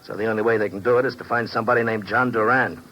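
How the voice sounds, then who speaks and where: A man's voice: lowish voice, steady tone, rapid-fire, dull audio, lightly acted. An American actor playing a desperate, bitter, down-on-his-luck operator in a 1950s radio drama, agitated and pleading in slangy tough talk. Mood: urgent, confiding. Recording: noisy old 1950s radio recording.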